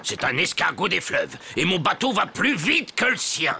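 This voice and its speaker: A cheeky old grandpa dog. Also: male voice, high-pitched, big pitch swings, moderate pace, creaky, sharp tone, slight background noise, exaggerated voice. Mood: taunting. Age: older. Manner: taunting. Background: cartoon music.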